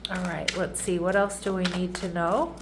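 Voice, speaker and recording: A woman's voice: on the low side, level tone, moderate pace, very noisy. A woman giving a casual tarot reading who sounds congested and nasal, chatting and often checking in with her audience. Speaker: tarot reader.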